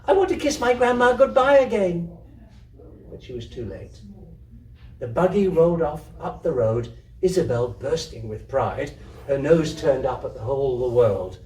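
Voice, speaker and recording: A man giving an expressive live reading of literary fiction. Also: mid-range voice. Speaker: reader, amateur theatre troupe member. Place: indoor community hub event. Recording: roomy, distant mic, faint noise.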